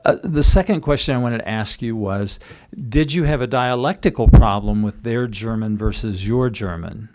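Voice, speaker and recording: A man's voice: fairly low pitch, normal speed, a little mellow. A man speaking as an author at a public book talk, explaining and questioning, often rephrasing as he goes.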